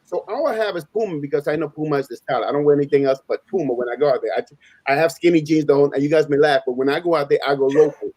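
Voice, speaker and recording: A man with a fairly high voice talks very quickly in a dry, close recording. He is a native Spanish speaker who tells stories in loose street slang. He repeats phrases for emphasis and acts out both sides of a conversation. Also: darkish tone, no room sound, low-quality recording. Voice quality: slangy, informal street talk. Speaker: panelist on a live open panel. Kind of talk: casual animated storytelling.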